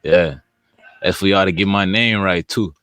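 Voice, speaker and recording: A young man with a very low, deep voice talks casually as a podcast guest, laid-back and rambling in street slang. The sound is close and dry, with no room around it. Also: normal speed, fairly bright tone, dry recording. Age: young adult. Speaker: rapper guest.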